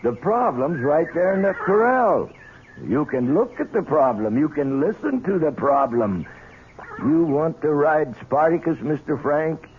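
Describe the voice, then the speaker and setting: An actor playing a wry, plain-spoken stable hand in a 1970s radio drama. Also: male voice, fairly high pitch, big pitch swings, unhurried. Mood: wry, challenging. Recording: tinny, noisy old radio recording.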